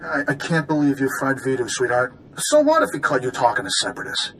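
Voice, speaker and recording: A man's voice: medium pitch, wildly swooping pitch, fast, a bit bright, soft background music, rough recording. An actor playing a slick, callous con man in a video game, with a glib, smug manner. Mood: smug, dismissive.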